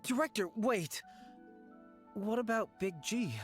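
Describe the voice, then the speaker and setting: A game actor voicing a nervous young funeral parlor worker who hesitates and stammers. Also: male voice, high-pitched, slow pace, soft background music. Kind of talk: game voice acting.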